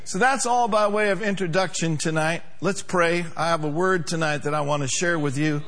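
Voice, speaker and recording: A man's voice: on the high side, normal speed, fairly bright tone. A male pastor preaching a sermon to a congregation, emphatic, with call-and-response.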